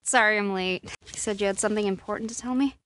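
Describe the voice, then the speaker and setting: An actress voicing a guarded, sarcastic, distressed young American woman with powers in a video game, speaking in a breathy, emotionally expressive way. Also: medium pitch, fast talker, lightly acted. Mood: bitter, sarcastic. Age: young adult.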